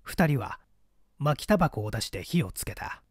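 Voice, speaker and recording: Guy reading an audiobook story, measured and subdued, very dry close sound. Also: mid-range voice, big pitch swings, no room sound. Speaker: audiobook reader. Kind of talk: scripted literary narration.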